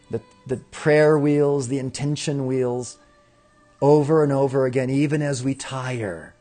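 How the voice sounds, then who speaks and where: A man hosting a spiritual broadcast, speaking in a calm, reflective way and leading chanted affirmations. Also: mid-range voice. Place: indoor room with windows in the mountains.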